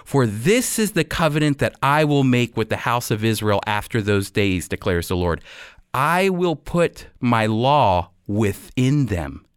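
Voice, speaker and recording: A man giving a radio Bible teaching, explaining and reading scripture with emphatic, deliberate phrasing. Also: mid-range voice, sing-song, smooth, no echo, studio quality.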